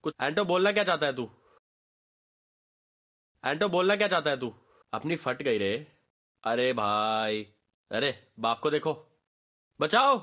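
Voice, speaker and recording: A man's voice: fairly high pitch, measured pace, long pauses. A man voicing a brash, streetwise gamer barking slangy squad callouts for a game voice pack. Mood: wary, cheeky. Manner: animated, slangy, bossy.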